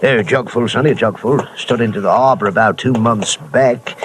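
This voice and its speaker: An actor playing a garrulous, hard-drinking old waterfront sailor who talks in folksy nautical slang, in a 1950s radio drama. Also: male voice, medium pitch, moderate pace, lightly acted. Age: older. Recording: hissy old radio broadcast.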